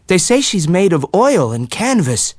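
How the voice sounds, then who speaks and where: A man's voice: high voice, big pitch swings, a little slow, no room sound, studio quality, voice pushed up, full character voice. A man voicing a small, earnest, curious boy with plain rustic speech.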